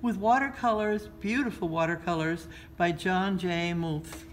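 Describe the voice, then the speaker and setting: A woman reads a children's story aloud in a measured, expressive storytelling style, pausing between phrases. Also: lowish voice. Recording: noticeable background noise.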